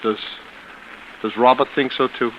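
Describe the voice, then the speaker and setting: A man's voice: medium pitch, fairly even intonation, measured pace, lightly acted. An actor playing a guilt-ridden, wary male houseguest in a 1940s radio drama, earnest, hesitant and anguished. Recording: muffled, noisy old radio broadcast.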